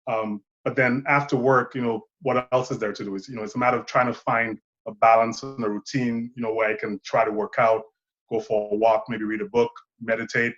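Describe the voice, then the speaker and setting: A man's voice: medium pitch, little pitch movement, fairly quick, a bit bright, muffled recording. A man in his thirties from Saint Lucia, a filmmaker guest talking thoughtfully and rambling a bit. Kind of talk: casual interview chat.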